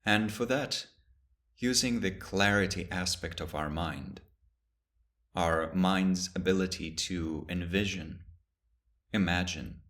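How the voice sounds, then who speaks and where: A man with a very deep, low voice leads a guided meditation. He speaks slowly and calmly, leaving long pauses between short phrases. His tone stays soft and steady throughout. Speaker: meditation teacher.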